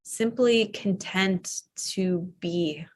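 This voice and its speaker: Slow, soothing woman guiding relaxation. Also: fairly low pitch. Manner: slow, soft and soothing, with long pauses. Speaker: yoga nidra teacher. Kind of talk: guided relaxation. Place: live online or studio class.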